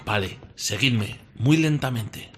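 A man playing a calm, practical leader of a cautious search party in a radio drama. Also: mid-range voice, slow, a little hiss or hum.

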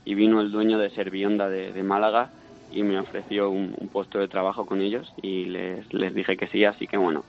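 Low, even-toned young Spanish man on phone. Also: moderate pace, gravelly. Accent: Spanish from Spain, no marked regional accent. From Madrid, Spain. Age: 20s. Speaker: interview guest. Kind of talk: casual phone interview. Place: at home. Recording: band-limited phone call on radio.

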